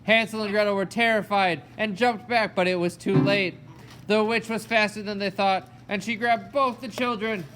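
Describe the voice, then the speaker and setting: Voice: mid-range voice, fairly even intonation, normal speed, a bit bright, slight background noise. A narrator telling a fairy tale in a storytelling style for a children's puppet show.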